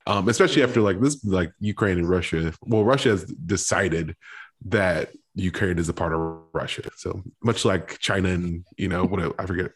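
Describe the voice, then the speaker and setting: A man with a very deep, husky voice explains things in a loose, offhand way during casual podcast talk. He sounds relaxed and unpolished. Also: normal speed.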